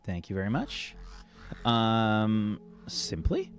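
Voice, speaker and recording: A man's voice: lowish voice, huge pitch swings, takes it slowly, soft background music. A man as game master, rambling through a casual stream wrap-up with many ums and thanks.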